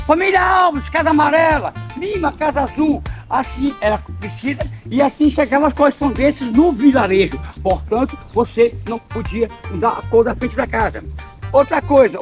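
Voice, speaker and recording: An older man with a notably high voice leads a village tour, mixing history with wisecracks and tripping over his words now and then. His colors every line, and a faint strain of music runs in the background. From Bahia, Brazil. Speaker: local tour guide. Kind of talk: joking storytelling tour talk.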